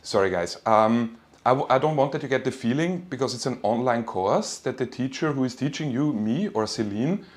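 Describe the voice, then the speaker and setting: A man's voice: medium pitch, expressive, normal speed. Informal male lecturer. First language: German. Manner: friendly, encouraging, informal address. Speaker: university finance lecturer. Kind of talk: live online lecture, informal.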